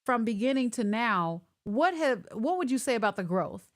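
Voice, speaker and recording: A woman hosting a casual online interview over a video call, chatty and animated as she works through connection glitches. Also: fairly high pitch, normal speed. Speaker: host.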